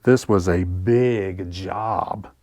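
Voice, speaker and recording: A man with a fairly low voice reads a picture book aloud for young children. He takes his time, pausing expressively for effect. The sound is close and dry, as befits a story time host. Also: no echo, muffled recording. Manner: deliberate, expressive, with pauses for effect.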